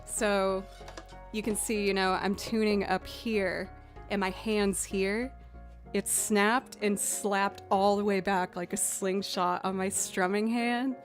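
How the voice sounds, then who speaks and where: Chatty young woman with a lower voice. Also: fairly even intonation, slow pace, faint music behind. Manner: chatty, thinking aloud with fillers. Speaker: musician streamer. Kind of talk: casual live-stream chat.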